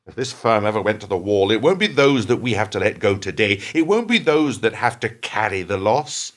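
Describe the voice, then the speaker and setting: An actor playing a hard, proud, bitter middle-aged company boss in a radio play, with a scornful, sarcastic, emphatic delivery. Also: male voice, on the low side, expressive, a little fast, a bit bright. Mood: indignant.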